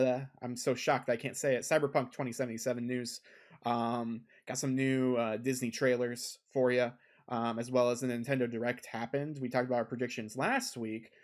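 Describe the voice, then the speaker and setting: A man co-hosting a casual gaming podcast, rambling with lots of filler ums, recorded on a desk condenser mic at a home setup. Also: medium pitch. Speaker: podcast co-host.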